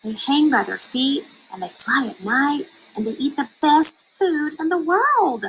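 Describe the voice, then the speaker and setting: A woman's voice: high voice, animated, unhurried, a little hiss or hum, pitched above the performer's own voice, low-quality recording. A woman reading a children's storybook, voicing a naive, earnest young girl bat in a wide-eyed, emphatic way. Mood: excited. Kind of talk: children's storybook read-aloud.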